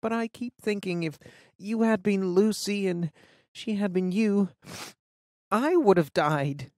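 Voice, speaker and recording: A woman's voice: mid-range voice, lively intonation, takes it slowly, darkish tone, no room sound, clean studio sound, pitched above the performer's own voice. A woman voicing a rattled teenage girl who talks in a rush and keeps breaking into tears. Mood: tearful. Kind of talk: audiobook character voice.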